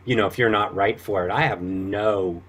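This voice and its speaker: A man with a sweet, gentle voice chatting casually and earnestly as an actor guest in an interview. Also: fairly low pitch, fairly even intonation, moderate pace.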